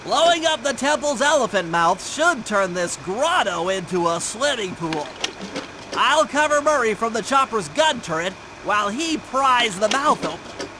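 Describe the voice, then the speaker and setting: A man's voice: very high voice, background noise. A man voicing a nerdy, meticulous turtle who plans for a gang in a cartoon video game, with a nasal voice and precise, technical delivery. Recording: music behind the voice.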